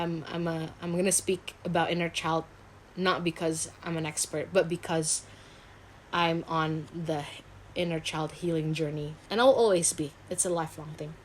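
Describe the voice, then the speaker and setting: Indonesian woman, lowish voice, psychologist chatting in a casual interview, pretty candid about herself. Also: a little hiss or hum, poor audio. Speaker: psychologist and counselor, interview guest. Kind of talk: casual video interview chat.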